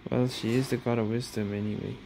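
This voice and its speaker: A woman's voice: extremely low pitch, little pitch movement, quick pace, creaky, noisy background. A woman giving casual, hesitant commentary while playing a video game. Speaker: game streamer. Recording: game music behind the voice.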